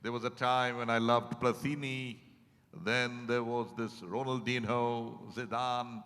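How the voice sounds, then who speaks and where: An actor in a dramatized stage reading, playing a reflective, regretful male patient who speaks in a theatrical, halting way and corrects himself. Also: medium pitch, little pitch movement, fairly bright tone. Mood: passionate, nostalgic. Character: reflective, regretful male therapy patient reminiscing about life. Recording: echoing hall, stage reading.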